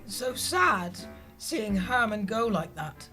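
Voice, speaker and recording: A man's voice: sits high, big pitch swings, takes it slowly, bright, forceful tone, faint music behind, heavily acted. Earnest, pedantic British man. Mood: wistful. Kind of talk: audio comedy acting.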